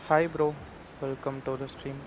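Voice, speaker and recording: A man's voice: mid-range voice, flat delivery, normal speed. A man doing casual live gameplay commentary as a streamer, recorded at a distance under background noise.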